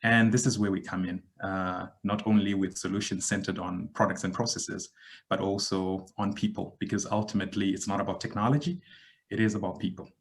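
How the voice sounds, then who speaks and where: A man in his thirties from Zimbabwe, a podcast guest giving a measured, reflective account with frequent pauses. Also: sits low, little pitch movement. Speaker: guest, digital transformation expert. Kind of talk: podcast interview answer.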